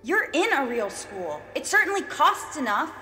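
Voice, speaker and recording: An actress playing a guarded, frustrated sister in a stage drama. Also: female voice, sits high, sing-song, moderate pace, pushed and bright, heavily acted. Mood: incredulous, challenging. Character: guarded, frustrated older sister of an ambitious student. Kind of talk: stage-play dialogue acting. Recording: reverberant hall sound.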